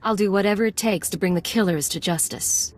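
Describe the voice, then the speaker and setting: An actress playing a composed, resolute young undercover imperial agent in a video game, terse and controlled. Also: female voice, mid-range voice, fairly quick, lightly acted. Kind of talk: dramatic video game acting.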